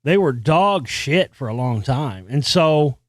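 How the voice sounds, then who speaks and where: A man hosting his own podcast in a loose, wandering monologue. He often restarts sentences and swears casually. His voice sits a bit high for a man, recorded dry with no room sound. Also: expressive, slow pace, dry recording. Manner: experienced singer with a high singing voice. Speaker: podcast host. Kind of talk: casual solo monologue about music.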